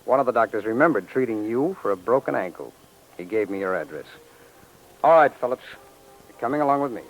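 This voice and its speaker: An actor playing a stern, businesslike FBI agent in a 1940s radio crime drama, with a clipped, authoritative delivery. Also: male voice, medium pitch, expressive, fairly quick, natural voice. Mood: stern, matter-of-fact. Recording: muffled, noisy 1940s radio recording.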